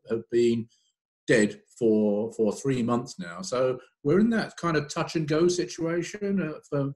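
A man in his sixties explaining points on an online panel over a video call, often repeating phrases. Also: medium pitch. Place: room at home or office, video call.

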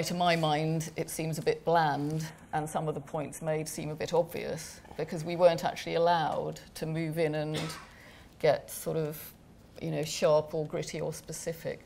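A woman's voice: low-pitched, gravelly, a little mellow, slight background noise. A woman film director answering questions on a panel, hesitant, with many fillers, in a large gallery room.

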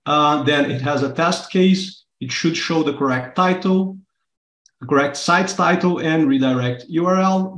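A man from Brazil whose first language is Portuguese, speaking English on a video call as he walks through a testing project in a relaxed, explanatory way. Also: on the high side, echoey room. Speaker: workshop presenter. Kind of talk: live online workshop walkthrough. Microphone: webcam video call.

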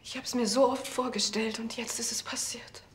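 A woman's voice: on the high side, fairly even intonation, normal speed, close to an everyday voice. A woman playing a subdued, resigned widow of about forty in a TV crime drama. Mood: resigned, hushed.

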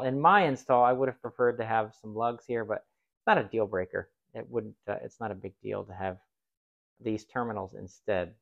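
Man, 40s, DIY host, relaxed hands-on demo, thinking aloud, close phone mic, dry sound. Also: mid-range voice, gravelly, no room sound, muffled recording. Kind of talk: casual hands-on tool demo. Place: outdoors on gravel and in a workshop.

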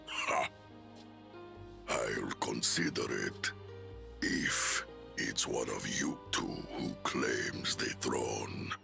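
Bottomless gravelly giant. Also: male voice, music in the background, exaggerated voice. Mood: gruff, proud. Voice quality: extremely deep, gravelly. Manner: slow, ponderous. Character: hulking, gruff male giant. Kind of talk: video game cutscene acting.

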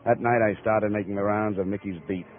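Hardboiled male investigator played by an actor, American, low, clipped delivery, tinny vintage radio sound. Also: fairly even intonation, a little fast, soft background music, lightly acted. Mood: cool narration. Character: hardboiled male insurance investigator, cool and probing. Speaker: lead radio actor, narrator. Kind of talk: 1950s radio detective acting, first-person narration. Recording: muffled old radio recording, tinny.

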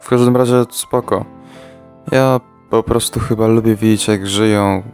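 A man voicing a weary, sarcastic teenage boy fighter in a comedy fan dub, talking deadpan. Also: fairly low pitch, measured pace. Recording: soft music underneath.

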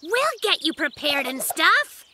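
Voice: extremely high pitch, very animated, a little slow, sharp tone, a little hiss or hum, exaggerated voice. An actor voicing an eager, bossy, boastful little bear cub in a children's cartoon, with a showy, theatrical delivery. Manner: showy, overconfident, theatrical announcing.

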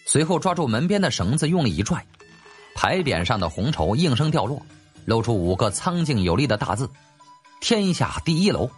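一位男演播者用戏剧化又略带调侃的口吻讲述历史小说有声书。. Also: male voice, mid-range voice, sing-song, a little fast. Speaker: audiobook narrator. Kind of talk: dramatic storytelling narration of a historical novel. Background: faint background music.

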